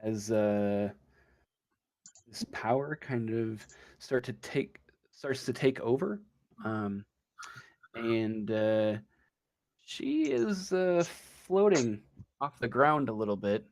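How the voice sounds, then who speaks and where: A man improvising narration as game master of a tabletop roleplaying game, often pausing mid-sentence as he goes. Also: mid-range voice, big pitch swings, a little mellow, no echo.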